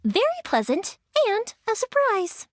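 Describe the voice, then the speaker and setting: A bubbly young fireworks maker, full of teasing chatter and giggles. Her voice sits high and leaps up and down with excitement. It is recorded close and dry, with no room sound. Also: a little slow, no echo, full character voice. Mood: chatty. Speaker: game character. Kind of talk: game voice lines.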